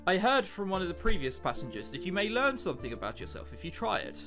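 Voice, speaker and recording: A man's voice: high voice, big pitch swings, quick pace, gravelly, over music, heavily acted. A man voicing a friendly, easygoing female train passenger in a visual novel, chatty and helpful. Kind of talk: visual novel dialogue read aloud.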